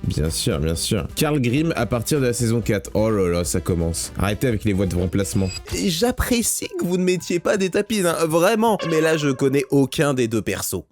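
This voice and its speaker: A man's voice: medium pitch, big pitch swings, moderate pace, darkish tone, soft background music. A man giving casual, teasing, mock-indignant commentary as the host of an online video. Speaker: host of a video about French voice actors. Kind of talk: casual reaction commentary with scripted explanations.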